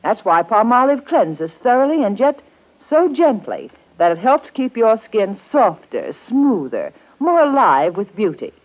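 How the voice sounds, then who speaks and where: A woman's voice: fairly high pitch, sing-song, measured pace. An American woman reading a scripted 1930s radio commercial, advising the listener in an intimate, carefully phrased way. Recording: dull, band-limited 1930s radio broadcast.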